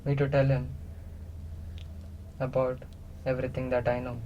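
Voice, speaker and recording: A man playing a captive, all-knowing non-human being in a radio play, curious and literal, speaking in halting, deliberate pieces. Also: mid-range voice, monotone, warm tone, dull audio, slight background noise, lightly acted. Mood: solemn, matter-of-fact. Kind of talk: semi-improvised radio play dialogue.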